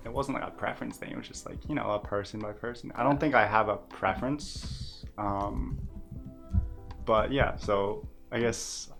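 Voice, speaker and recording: A man's voice: on the low side, steady tone, moderate pace, creaky, fairly bright tone, soft background music. A young man, a guest on a casual podcast, talking in an easygoing, hesitant way with many fillers. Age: young adult.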